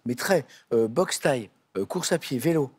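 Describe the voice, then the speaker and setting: Husky, slightly hoarse male quiz host in a TV studio, chatty and teasing, full of fillers. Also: mid-range voice, expressive, measured pace. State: voice a bit hoarse. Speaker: game show host. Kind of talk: friendly candidate introductions. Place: television studio.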